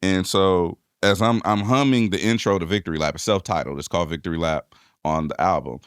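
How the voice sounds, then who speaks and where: Deep-voiced man telling lively stories. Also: brisk, smooth, no room sound, studio quality. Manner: animated storyteller, playful, acts out scenes. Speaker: podcast co-host, DJ. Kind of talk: casual chat.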